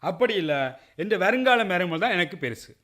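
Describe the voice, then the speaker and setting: An actor with a Sri Lankan Tamil accent plays a firm, stubborn older uncle in a radio drama. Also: male voice, sits high, animated, a little fast, bright, forceful tone. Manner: firm, resolute.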